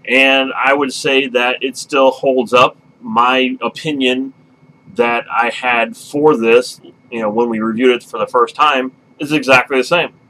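Brief: male reviewer, mid-pitched, casual and emphatic. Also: fairly even intonation, measured pace, bright, forceful tone, a little hiss or hum, low-quality recording. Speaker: movie review show host.

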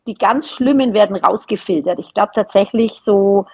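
A woman's voice: medium pitch, normal speed, gravelly, darkish tone, husky. A middle-aged woman chatting casually as a guest on a podcast. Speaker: podcast guest, knitting creator. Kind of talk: casual chat.

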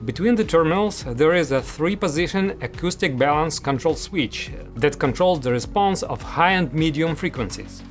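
A man's voice: fairly high pitch, animated, moderate pace, hardly any pauses. A man in his thirties giving a scripted product review as host, speaking to camera in an indoor room. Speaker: audio gear reviewer, host. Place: small studio room, indoors. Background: music.

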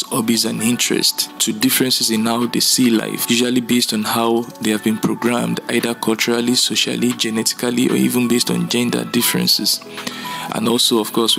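A man presents a prepared seminar invitation in a medium-pitched, gravelly voice. His delivery is very even, and faint music sits behind him. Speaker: relationship seminar speaker. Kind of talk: prepared promotional talk to camera.

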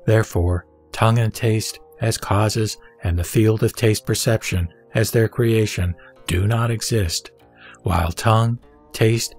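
A man with a mid-pitched voice recites scripture like an audiobook narrator. His delivery is measured and formal, as if teaching from the text. Music plays behind him. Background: background music.

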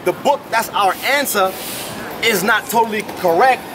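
High-voiced young American street preacher, man. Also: normal speed. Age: young adult. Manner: insistent, interrupting, repeating phrases. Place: outdoors on a city sidewalk. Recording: loud street and crowd noise.

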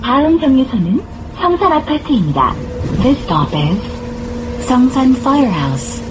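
A woman reading scripted bus stop announcements in a measured, formal manner. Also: high-pitched, sing-song. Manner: measured, formal, clearly phrased. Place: played over speakers inside a moving bus. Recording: muffled recording with clear vehicle noise. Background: bus engine and road noise.

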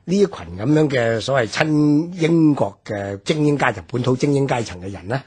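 A man with a Hong Kong Cantonese accent talks through history on a radio program. His voice is very raspy and strained, yet his pitch rises and falls in wide swings as he explains. He pauses often on fillers while he builds his points. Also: animated, a little mellow. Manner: measured, explanatory, many fillers. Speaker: history commentator. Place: radio studio.

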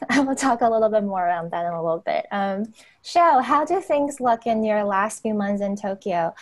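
Friendly woman moderating, medium pitch. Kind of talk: casual panel moderation. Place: home room with bookshelves. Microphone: video call.

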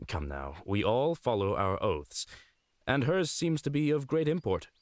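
A man voicing a loyal, dutiful knight retainer in a fantasy game, formal and courteous with a deadpan streak. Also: medium pitch, a little slow, dry recording, clean studio sound. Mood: earnest, persuasive. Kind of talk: fantasy game character acting.

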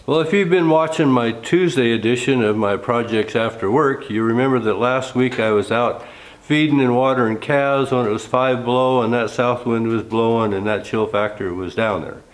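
A man in his fifties, hoarse from a cold, giving a folksy, easygoing how-to talk in a large cluttered workshop. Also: mid-range voice, moderate pace, poor audio. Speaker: coach builder.

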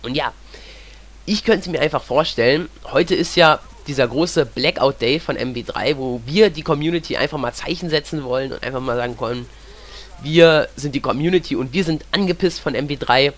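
Young guy, bit of a cold, rambling gameplay commentary, kinda high voice. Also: normal speed. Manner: chatty, rambling, fills with "ja". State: has a cold. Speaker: gaming commentator.

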